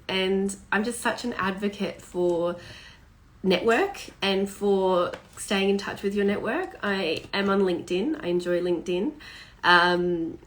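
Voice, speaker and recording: A woman's voice: medium pitch, a bit bright. Chatty, upbeat woman hosting a video call. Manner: chatty and enthusiastic, many um fillers. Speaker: host. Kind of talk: live-streamed video interview.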